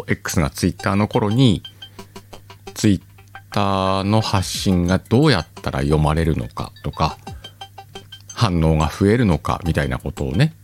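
A man hosting a podcast alone, chatting casually in a rambling, self-mocking way with drawn-out pauses. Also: low voice, darkish tone. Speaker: podcast host. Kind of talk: casual solo chat.

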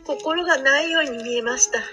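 An innocent young servant acted by a woman speaks extremely high, with polite, earnest concern for a master. It is audio drama acting with clear music behind. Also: a little fast, close to an everyday voice. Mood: troubled.

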